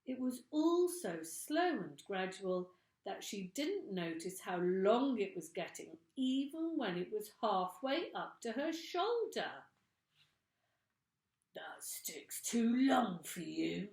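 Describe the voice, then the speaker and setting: A woman teacher, reading a storybook aloud to children in a slow, expressive way. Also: medium pitch, reverberant.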